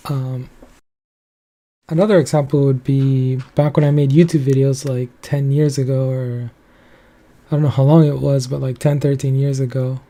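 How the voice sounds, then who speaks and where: A young man talks alone in a casual, meandering way and keeps leaning on filler words. His voice is very dark and mellow and his pitch stays flat, sitting in an ordinary middle register. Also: normal speed. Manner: rambling, loose, heavy on filler words. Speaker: commentary channel host. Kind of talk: casual solo commentary.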